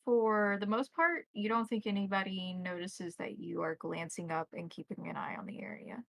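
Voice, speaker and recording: A woman narrating a tabletop roleplaying game as game master, describing scenes in deliberate detail. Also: mid-range voice, steady tone, vocal fry, no room sound.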